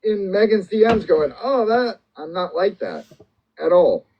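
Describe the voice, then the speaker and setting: A man on a live stream argues his case insistently and circles back to the same points. His voice sits a little high and moves a lot in pitch. The sound is dull and lo-fi. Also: sing-song, a little slow, slight background noise. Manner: defensive, emphatic, repeats himself. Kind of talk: casual live-stream chat.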